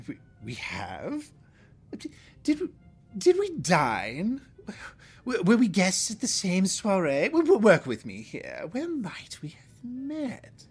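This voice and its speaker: A man's voice: high voice, wildly swooping pitch, slow, husky, exaggerated voice. A man playing a vain, flirtatious deposed alien prince in an audio drama, with a pompous, theatrical way of talking. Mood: flirtatious, flustered. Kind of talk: audio fiction acting.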